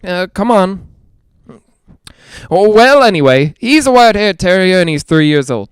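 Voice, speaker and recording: A man's voice: high voice, sing-song, moderate pace, clean tone, no echo, studio quality. A man playing a chatty, skeptical radio ghost hunter in a 1940s-style audio drama, with folksy joking patter. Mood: wry, nervous joking.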